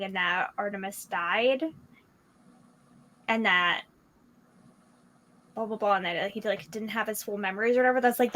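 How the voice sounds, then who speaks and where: A woman's voice: mid-range voice, a bit bright. A woman in her early twenties in a casual book discussion, venting animatedly and saying "like" a lot.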